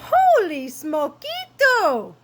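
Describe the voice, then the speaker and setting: A woman voicing an excitable, boastful little kitten boy with dramatic mock-Spanish exclamations in a storybook reading. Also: a little high, slow pace, heavy background noise. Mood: excited, cheeky.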